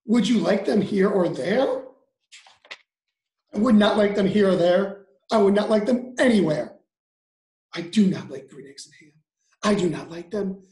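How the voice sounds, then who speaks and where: A man's voice: high-pitched, expressive, measured pace, pauses often, mellow. Storybook role: grumpy, stubborn creature performed by a man, exasperated, emphatic rhymed delivery, roomy sound. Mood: stubborn refusal.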